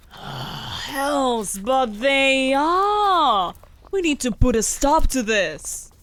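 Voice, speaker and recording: A woman playing a sharp-tongued, bossy wolf familiar in a fantasy audio roleplay, speaking in a haughty, sardonic, formal way. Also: high-pitched, very animated, drawn-out words, heavily acted. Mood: alarmed, exasperated.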